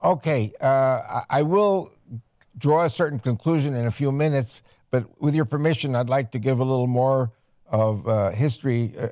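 A man in his seventies, a guest in a studio interview, talking at length in a rambling way and halting often on fillers. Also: medium pitch, a little mellow, dry recording. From United States. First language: Spanish. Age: 70s.

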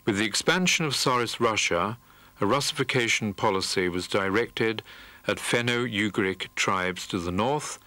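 A man narrates an educational documentary from a script. His voice sits a little low but rings bright and forceful, recorded dry and close in a studio. He keeps the delivery measured and formal. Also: no echo. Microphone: studio voice-over.

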